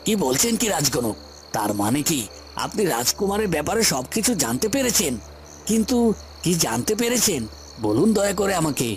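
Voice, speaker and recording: A man's voice: fairly high pitch, sing-song, moderate pace, a little hiss or hum. A man playing a scheming, deceitful villain in a fairy-tale cartoon, with a theatrical, self-questioning delivery. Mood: probing.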